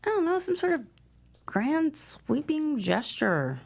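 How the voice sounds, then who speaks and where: A woman's voice: sits high, very animated, slow, vocal fry, darkish tone, heavily acted. An actress reading an earnest adult daughter pleading with her father. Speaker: actor in a table read.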